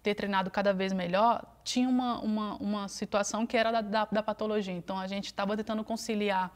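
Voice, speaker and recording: A woman in her thirties from Brazil, a guest on a TV studio interview, speaking in a relaxed, reflective way with frequent fillers. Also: medium pitch, quick pace. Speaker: interview guest, Paralympic swimmer.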